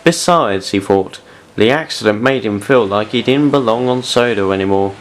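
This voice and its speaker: A man's voice: fairly low pitch, normal speed, slight background noise. A man reading scripted story narration as the narrator of an audio drama.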